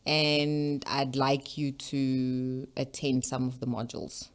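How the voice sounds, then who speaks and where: A young woman from South Africa, a leadership coach, telling her story as a guest in a relaxed, reflective way. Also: very deep voice, slow. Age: young adult. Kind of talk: interview storytelling.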